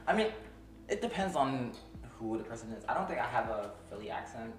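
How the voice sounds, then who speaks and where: A young man from Philadelphia hosting a casual chat with a friend, chatty and quick to laugh, loose with slang. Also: medium pitch. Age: young adult. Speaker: podcast host. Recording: echoey room, mic a bit far, steady background noise.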